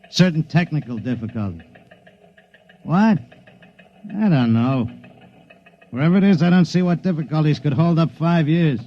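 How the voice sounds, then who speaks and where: An actor playing a brusque, dismissive newspaper editor talking on the phone in a 1950s radio drama. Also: male voice, a little high, highs cut off, natural voice.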